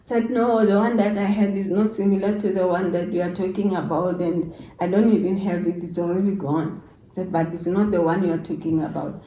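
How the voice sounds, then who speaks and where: A woman's voice: on the low side, fairly even intonation, brisk, warm tone. A middle-aged woman with a South African accent tells a story casually, acting out bits of dialogue and repeating phrases for emphasis. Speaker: YouTuber's mother telling a story as guest. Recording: roomy, echoing indoor sound.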